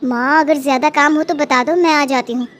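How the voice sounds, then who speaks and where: A woman puts on a protective young married daughter for a cartoon drama. The voice is extremely high, fussy and ready to find fault, with noise behind it. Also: fairly even intonation, brisk, close to an everyday voice. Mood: concerned. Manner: fussing, accusatory. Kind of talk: Hindi cartoon moral story acting.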